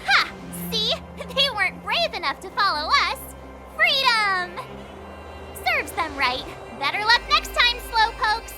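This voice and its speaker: A woman's voice: very high voice, sing-song, slow pace, heavily acted. A woman voicing a perky, cheeky teenage girl adventurer in an anime game, exclamatory and playful. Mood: gleeful, taunting. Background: game music.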